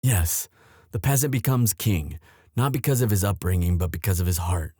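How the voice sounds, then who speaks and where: A man playing an anxious, protective young prince in love, speaking earnestly and haltingly in a romantic roleplay audio drama. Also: deep voice, darkish tone, slightly rough, dry recording, studio quality. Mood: conflicted, earnest. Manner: earnest, halting, tender delivery.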